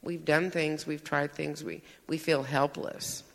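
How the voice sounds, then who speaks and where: A man's voice: fairly high pitch, steady tone, measured pace, creaky, long reverb tail. A man reporting his table's discussion to a church group, earnest and searching for words. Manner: earnest, searching, restarts often.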